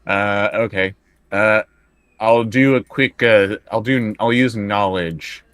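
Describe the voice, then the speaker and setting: A man's voice: on the low side, slow pace, fairly bright tone, clear, resonant tone, no echo. A man chatting casually as a tabletop roleplaying player, thinking aloud and working through his choices bit by bit.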